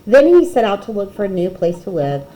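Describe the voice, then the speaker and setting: A woman reading a children's picture book aloud expressively, with dramatic pauses and emphasis. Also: mid-range voice, very animated, warm tone, rough recording.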